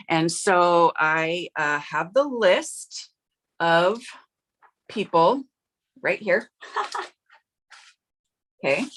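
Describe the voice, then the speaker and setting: A middle-aged woman hosting a live card-making stream, chatty and upbeat with lots of filler words, her voice a little rough from yelling. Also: fairly low pitch. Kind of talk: casual livestream chat.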